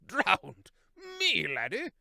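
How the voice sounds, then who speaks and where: A man's voice: high-pitched, huge pitch swings, extremely slow, creaky, bright, forceful tone, voice pushed up, heavily acted. A man voicing a jolly, plummy hare who blusters and says "what, what?". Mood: hearty. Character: jolly hare, plummy upper-class old soldier type.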